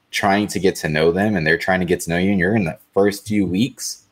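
A man with a deep, monotone voice, talking bluntly and dryly as a panelist on a casual live show. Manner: blunt, dry.

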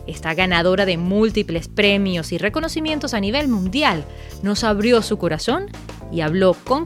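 A woman presenting and interviewing on a radio-style show, reading a polished introduction and then asking questions. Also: mid-range voice. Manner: polished, expressive presenter delivery.

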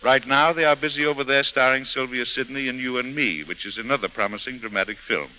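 A man's voice: medium pitch, quick pace, bright, forceful tone. A man presenting and narrating a scripted 1930s radio programme in a formal, theatrical way. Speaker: guest host and narrator. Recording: muffled 1930s radio broadcast.

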